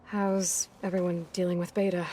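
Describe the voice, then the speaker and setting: A woman's voice: lowish voice, flat delivery, moderate pace, warm tone, slight background noise, natural voice. A woman playing an earnest, determined young adventurer in a video game. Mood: calm, concerned. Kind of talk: acted game dialogue. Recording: game music behind.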